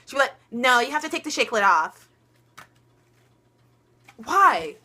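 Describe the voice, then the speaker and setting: Teen girl vlogger, bright voice, chatty and giggly, lots of ums. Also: high voice, big pitch swings, a little slow. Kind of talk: casual storytelling chat.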